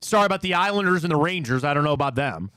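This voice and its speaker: Male sports talk host with a Cleveland Midwest accent: raised voice, very lively pitch, opinionated, rambling. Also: very fast, smooth, no room sound. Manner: animated, opinionated rambling with restarts. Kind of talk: casual sports talk chat.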